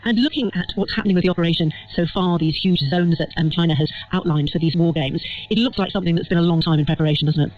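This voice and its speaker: A woman's voice: on the low side, quick pace, hardly any pauses, a little hiss or hum. A British woman presenting live radio news and putting questions to guests as an interviewer. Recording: slightly dull radio broadcast.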